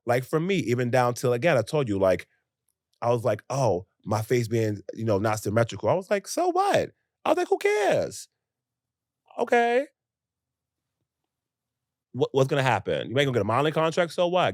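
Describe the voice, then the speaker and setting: A young American man co-hosting a podcast in casual chat, talking in an animated, emphatic way with rhetorical questions. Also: mid-range voice, no room sound, clean studio sound. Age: young adult. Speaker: podcast co-host.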